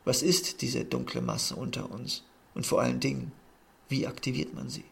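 A man reading a literary text aloud in a measured, earnest way. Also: mid-range voice, very animated, gravelly, fairly bright tone, husky. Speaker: narrator.

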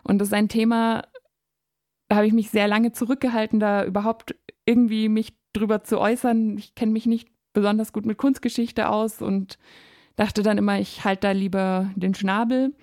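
A woman's voice: medium pitch, flat delivery, moderate pace, a little mellow, dry recording, studio quality. A woman with a German accent, a native German speaker, presenting an arts report on the radio in a scripted style. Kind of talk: scripted radio narration and interviewing.

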